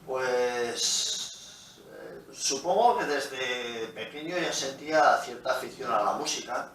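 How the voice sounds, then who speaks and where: A middle-aged man from Pamplona, a musician answering interview questions in an unhurried, storytelling way, his voice fading quietly at the ends of phrases. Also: medium pitch. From Pamplona, Spain. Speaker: guest musician. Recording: roomy, echoey, from a distance, faint noise.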